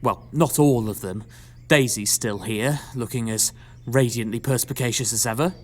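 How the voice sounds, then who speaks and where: A woman's voice: rumbling low, moderate pace. A woman playing a flustered, sarcastic farm parent, wordy and theatrical, in an audio pantomime. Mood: exasperated, sarcastic.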